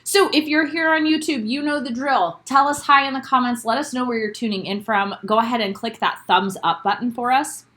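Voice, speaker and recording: A woman's voice: fairly high pitch, brisk, hardly any pauses, a bit bright. Young woman, co-host, casual chat, upbeat, laughs a lot, home bedroom. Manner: upbeat, greets chat viewers by name, laughs often. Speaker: podcast co-host. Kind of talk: casual recap chat, live stream.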